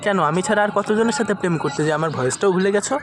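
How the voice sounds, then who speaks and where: A man's voice: sits high, racing, heavy background noise. A man making a prank phone call as a reproachful former lover, insistently questioning.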